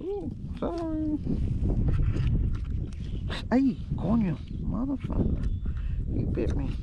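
A high, lively male voice talks unhurriedly from a kayak out on the water. He exclaims and swears now and then as he fishes. The sound comes through a muffled action camera and is nearly buried under loud wind and water. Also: takes it slowly, vocal fry. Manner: excitable, exclaims at each catch, swears. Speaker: kayak fishing vlogger. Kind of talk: casual running commentary while fishing. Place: kayak on a marsh waterway outdoors. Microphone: action camera mounted on the kayak. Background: water and wind outdoors.

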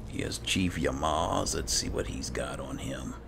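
A man giving casual, low-key roleplay commentary as he hosts a video game playthrough, musing as he goes. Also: measured pace. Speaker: gaming host. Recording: clearly noisy, game ambience behind.

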